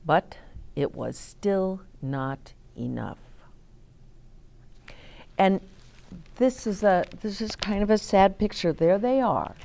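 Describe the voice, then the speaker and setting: Slow, expressive woman reading to children. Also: low voice, creaky. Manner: deliberate, explains and questions as if to young children. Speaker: storytime host reading picture books aloud. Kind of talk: expressive read-aloud for children with asides to listeners.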